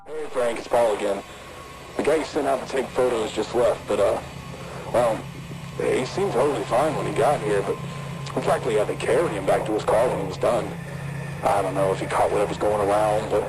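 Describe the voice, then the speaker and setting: A man playing an uneasy excavation crew leader, leaving a casual, halting voicemail in an analog horror tape. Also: fairly low pitch, noisy background. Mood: uneasy, hesitant.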